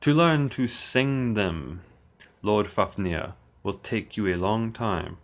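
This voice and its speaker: A man reciting old verse slowly and steadily on his livestream, with dry asides. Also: lowish voice, animated. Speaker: occult streamer. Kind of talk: reading verse aloud on a livestream.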